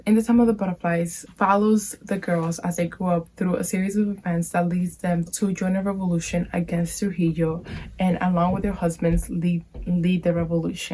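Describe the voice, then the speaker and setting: A woman's voice: on the low side, warm tone, rough recording. A woman from the Dominican Republic with a Dominican Spanish accent, a native Spanish speaker, earnestly recommending a book on her channel. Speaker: book reviewer. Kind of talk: booktube recommendation.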